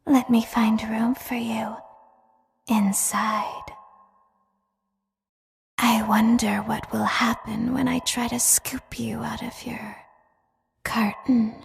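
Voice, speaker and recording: A woman voicing an eerie, childlike animatronic clown robot in a horror game, soft-spoken and deliberate, with calm menace. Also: a little high, vocal fry, exaggerated voice. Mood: softly menacing. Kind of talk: scripted horror game voice acting. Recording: echoey long hall reverb.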